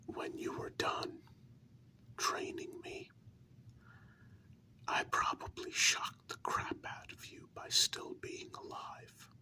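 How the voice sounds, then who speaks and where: Someone acting out a gentle candid human confiding in a vampire lover, all breathy whisper, real slow, asmr roleplay. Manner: slow, hushed, intimate, with pauses. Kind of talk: whispered ASMR role-play.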